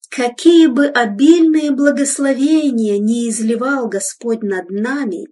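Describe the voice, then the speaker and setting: A woman giving a solemn, measured devotional reading in an exhorting tone, heard from some distance from the microphone. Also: a little high, darkish tone, husky. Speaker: reader of devotional text.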